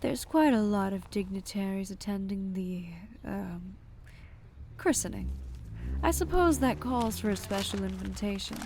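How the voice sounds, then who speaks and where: A woman playing a genteel, wry speakeasy proprietress in a fan dub, speaking in a measured, coaxing way. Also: mid-range voice, animated, darkish tone, slight background noise. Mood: wry, musing. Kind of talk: fan dub dramatic voice acting.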